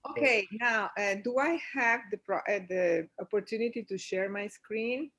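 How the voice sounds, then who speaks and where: A woman from Venezuela speaks as a guest over a video call, presenting her work in an eager, friendly way. Her pitch sits in the middle for a woman, and the talk is easy and casual. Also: normal speed, fairly bright tone. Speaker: author guest.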